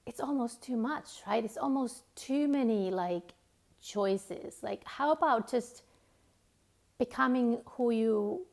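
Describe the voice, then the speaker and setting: A woman's voice: fairly high pitch, slow, vocal fry, a little mellow. A woman from Finland with a Finnish accent, her native tongue being Finnish, giving a reflective motivational talk with frequent fillers and restarts.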